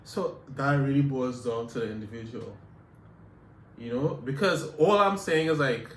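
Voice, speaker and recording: A man's voice: medium pitch, moderate pace, roomy sound, a little hiss or hum, poor audio. A man from Canada co-hosting a casual chat, talking in a reflective way with many repeats and fillers. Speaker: podcast co-host.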